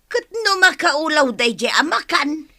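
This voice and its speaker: Actress as high-pitched, curious rural woman with an eye for riches, Ilocano accent, village comedy drama. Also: animated, a little slow, pushed and bright, exaggerated voice. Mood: doubtful. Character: curious, nosy, money-minded village woman, sly accomplice. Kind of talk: Ilocano comedy radio-style drama acting.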